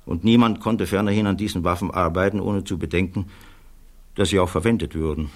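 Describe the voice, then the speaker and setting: An actor playing a thoughtful physicist giving measured, earnest testimony in a German radio play. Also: male voice, low-pitched, steady tone, natural voice. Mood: grave, troubled. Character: thoughtful male physicist witness, earnest, troubled by conscience.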